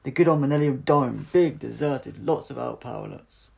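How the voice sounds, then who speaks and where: A man's voice: mid-range voice, moderate pace, gravelly, a little mellow, voice pushed up. A man playing a brainy, eccentric male adventurer in an audiobook, exclaiming with enthusiasm. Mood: excited realisation.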